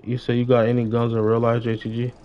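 A man's voice: medium pitch, monotone, fast talker. A young man chatting casually on a gaming livestream in slangy, profane street talk. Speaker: game livestreamer. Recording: dull lo-fi mic with noise.

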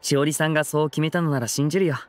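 A man playing a polite, gentle young stage magician with a soft boyish manner in a Japanese video game. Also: a little high, fairly even intonation, rapid-fire, faint music behind, lightly acted. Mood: thoughtful.

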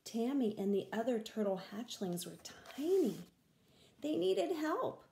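Older woman reading slowly to children. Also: on the high side, vocal fry, mellow, roomy sound. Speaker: read-aloud storyteller. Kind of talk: children's picture-book reading. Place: small room at home, indoors.

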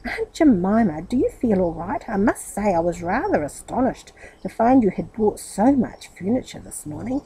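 A woman's voice: medium pitch, big pitch swings, moderate pace, warm tone, a little hiss or hum, low-quality recording. Woman reading as a bewildered, muddle-headed nephew. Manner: bewildered, protesting. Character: silly, puzzled, muddle-headed nephew in a children's story.

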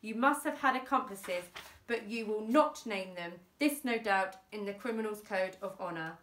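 A woman's voice: mid-range voice, moderate pace, fairly bright tone, distant mic, slight background noise, close to an everyday voice. Woman doing a stern indignant judge from a kids book, pompous emphatic telling-off, bit echoey. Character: stern, indignant judge passing sentence on a criminal. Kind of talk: children's storybook character reading. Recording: roomy, echoey indoor sound.

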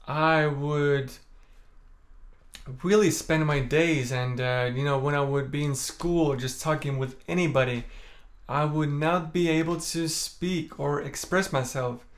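A young Estonian-born man speaks calmly and softly to a camera set up in front of him in a small room. His talk is candid and unhurried, sprinkled with "like" and "you know" as he tells his story. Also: a little high. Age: young adult. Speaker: vlogger. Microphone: camera in front at chest framing.

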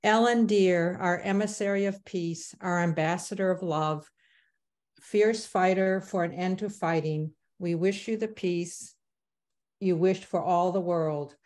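A woman's voice: lowish voice, unhurried, a little mellow. A woman on a video call, nervous and emotional, introducing and reading a short poem of her own. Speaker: guest speaker.

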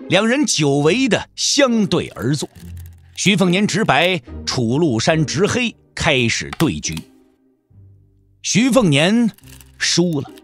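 A man narrating a scripted Chinese wuxia audio drama as storyteller. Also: sits high.

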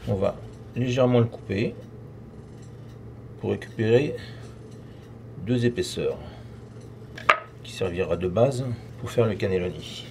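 A man's voice: on the low side, measured pace, long pauses. A middle-aged man giving a casual, step-by-step cooking demonstration as a chef, talking informally while he works. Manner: informal, talking while working with his hands. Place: professional restaurant kitchen, tiled, indoors. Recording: clearly noisy kitchen with clattering dishes. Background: loud kitchen ambience, clattering pans and cutlery.

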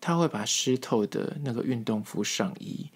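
A man with a Taiwanese Mandarin accent hosting a casual, humorous solo podcast, telling things in a chatty way with drawn-out emphasis. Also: medium pitch, animated, slightly rough. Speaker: podcast host.

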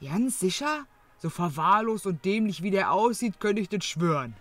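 A woman's voice: mid-range voice, very animated, moderate pace, no room sound. A performer voicing a blunt, colloquial, slovenly mother of grown sons, a woman in an audio drama parody. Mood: gruff.

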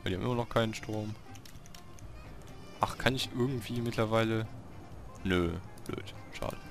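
A man giving casual gameplay commentary, talking to himself in short, halting remarks. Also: fairly low pitch, soft background music. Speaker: let's player hosting a city-building game series.

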